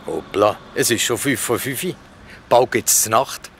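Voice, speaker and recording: A man's voice: medium pitch, unhurried, a bit bright, slight background noise. A man playing a friendly, jovial policeman in a children's radio drama, talking casually with a Swiss German accent. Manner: jovial, encouraging, casual slang. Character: friendly, encouraging adult policeman, jovial with kids.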